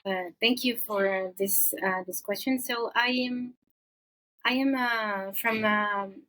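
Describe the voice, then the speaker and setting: A woman's voice: medium pitch, steady tone, bright, forceful tone. Hesitant middle-aged woman from Senegal. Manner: thoughtful, many pauses and hesitations. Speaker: psychologist guest. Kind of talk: interview answers.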